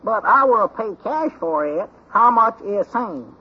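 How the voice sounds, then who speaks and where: An actor playing a folksy, muddle-headed and excitable country man with a Southern US accent in an old-time radio comedy. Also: sits high, a little slow, slightly rough, full character voice. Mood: halting, eager. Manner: folksy, easily distracted, rambling. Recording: muffled, worn old radio recording.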